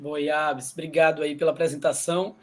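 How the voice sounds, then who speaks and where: A man's voice: on the high side, little pitch movement, brisk. A man hosting a casual online talk, introducing his guests in a friendly, informal way. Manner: friendly, informal, uses slang like "velho" and "mano". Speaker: host.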